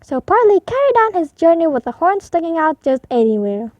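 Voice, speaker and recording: A woman reading a picture book aloud for young children, expressive and deliberate, in a slightly muffled recording. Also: very high voice, no echo. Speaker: storybook reader.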